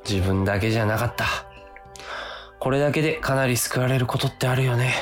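A man's voice: mid-range voice, a little fast, close to an everyday voice. Over background music, a man acts out a melodramatic young male office worker. He pities himself and talks through his inner thoughts in overwrought, theatrical style, as in a comic radio play. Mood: relieved, resolute. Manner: overwrought, dramatic self-talk. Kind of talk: comic radio drama acting with inner monologue.

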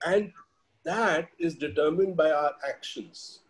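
An Indian-accented man in his forties with a fairly high voice. He tells stories conversationally as a guest, laying out his reasoning step by step in a reflective way. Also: slow pace. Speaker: interview guest, HR leader. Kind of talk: conversational personal storytelling.

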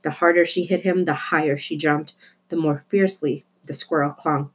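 Low-pitched woman reading a children's story. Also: steady tone, normal speed, husky. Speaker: storybook reader. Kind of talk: expressive children's book narration.

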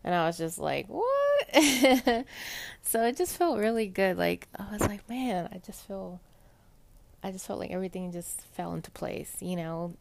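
A young woman with a soft, pleasant voice chatting casually and rambling in a personal podcast monologue, full of fillers. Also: medium pitch, lively intonation, normal speed, creaky. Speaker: podcast host.

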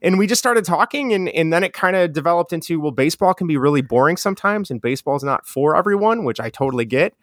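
A man with a strong Chicago accent, talking as a guest in a relaxed, rambling way with many restarts. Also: a little high, fast, hardly any pauses, no room sound, clean studio sound. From Chicago area. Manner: mellow, rambling with frequent restarts. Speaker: guest, educator and podcaster. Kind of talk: casual conversational interview.

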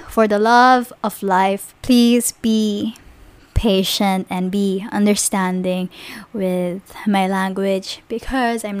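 A woman's voice: medium pitch, dry recording. A young woman in her twenties from the Philippines with a Filipino accent, chatting on her own podcast with lots of ums and small laughs. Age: 20s. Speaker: podcast host. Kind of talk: casual solo podcast monologue.

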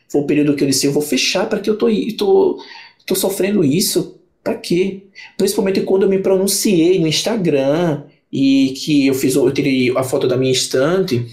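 A man's voice: a little high, a little mellow. Young man. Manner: rambling, many restarts and fillers. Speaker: book channel creator, podcast guest. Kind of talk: casual podcast conversation.

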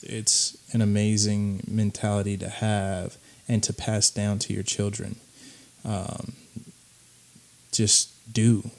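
A man hosting a podcast, talking casually about clips in a raspy voice, rambling with plenty of fillers. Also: fairly low pitch, darkish tone. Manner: laid-back, rambling with many fillers. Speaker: podcast host.

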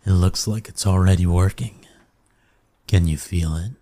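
A man plays a seductive male incubus in an intimate ASMR roleplay. His voice is very low and dark, and he takes his time, purring slowly. He is smug and dominant, yet coaxing and soothing. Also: fairly even intonation. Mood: seductive, boastful. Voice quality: low, breathy, husky. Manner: slow, teasing, purring, coaxing with pet names.

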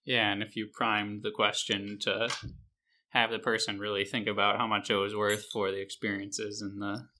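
A man's voice: fairly low pitch, flat delivery, normal speed, sharp tone, a little hiss or hum. A young man co-hosting a casual podcast, agreeable and a bit hesitant. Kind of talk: casual chat.

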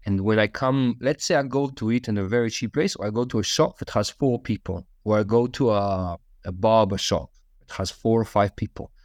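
Low-voiced man recounting travels, dry sound. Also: normal speed, no echo. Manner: relaxed storytelling with many fillers. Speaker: podcast guest. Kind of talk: conversational interview answers.

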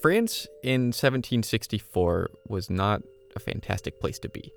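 Low-voiced man narrating a spooky podcast. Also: big pitch swings, unhurried, gravelly, faint music behind. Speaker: podcast host. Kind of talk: scripted storytelling narration.

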